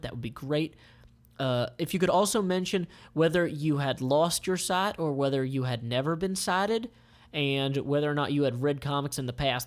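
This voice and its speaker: A man narrating a comic aloud in an informal, offhand, conversational way. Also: mid-range voice.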